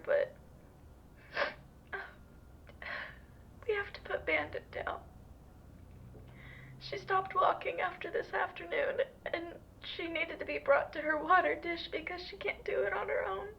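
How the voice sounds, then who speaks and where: Very high, tearful woman on the phone, acted. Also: fairly even intonation, creaky, heavily acted. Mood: sad, tearful. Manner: halting, hesitant. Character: hesitant, grieving ex-girlfriend making a painful phone call. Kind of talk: audio roleplay acting. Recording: dull, lo-fi phone call, faint noise.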